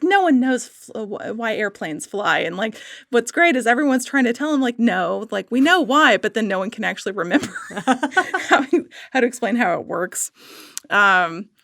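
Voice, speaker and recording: A woman podcast guest chatting casually and enthusiastically, often halting to search for words. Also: a little high, fairly bright tone, no echo, studio quality. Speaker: podcast guest, writer and illustrator.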